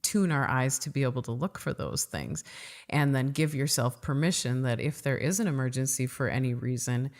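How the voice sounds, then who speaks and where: A middle-aged woman hosts a podcast, her voice unusually deep for a woman. She talks in a relaxed way, with lots of 'um's, and keeps the guest going with soft murmurs of agreement. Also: moderate pace. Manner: relaxed, frequent affirming murmurs and filler words. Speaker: podcast host. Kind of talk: casual interview chat.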